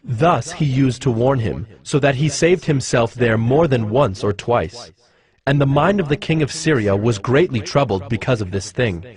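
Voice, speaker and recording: A man narrating scripture as a scripted story in a solemn, measured manner. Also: medium pitch, lively intonation, a crowd in the background. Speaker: Bible narrator.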